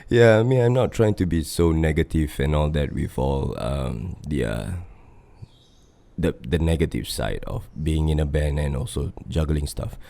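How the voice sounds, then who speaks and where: A man's voice: rumbling low, expressive, unhurried, gravelly, dark tone. A man in his thirties with a Singaporean accent, talking in a rambling, laid-back solo podcast monologue full of fillers and swearing. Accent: Singaporean English. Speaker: podcast host and band vocalist.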